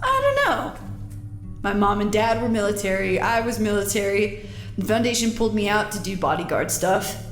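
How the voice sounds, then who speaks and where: An actress playing a casual, upbeat field agent who nudges and encourages in informal, naturalistic audio drama dialogue. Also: female voice, mid-range voice, normal speed, lightly acted. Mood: probing, friendly. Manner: informal, coaxing, animated. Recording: roomy echo, music behind.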